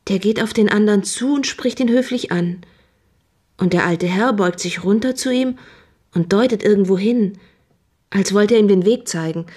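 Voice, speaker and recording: An actress playing a frightened young housemaid in a radio drama, giving breathless, halting eyewitness testimony in colloquial speech. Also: female voice, medium pitch, slightly rough, close to an everyday voice. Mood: uneasy, hesitant. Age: young adult.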